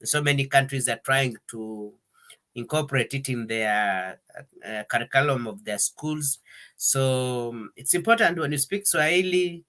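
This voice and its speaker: A man hosting an interview, talking in a relaxed, halting way with many fillers and restarts, now and then slipping into French. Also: mid-range voice. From Congo. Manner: hesitant, many fillers and restarts, switches between English and French. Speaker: interview host. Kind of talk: conversational interview. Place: Denmark.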